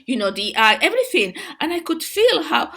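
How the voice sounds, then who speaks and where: A woman with a Spanish accent hosts a casual spiritual monologue for her viewers. Her voice sits fairly high and leaps around with a very lively pitch. She talks excitably, calling everyone guys and tripping over repeated words as she goes. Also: a little fast. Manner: excitable, addresses viewers as guys, frequent restarts. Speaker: spiritual video host.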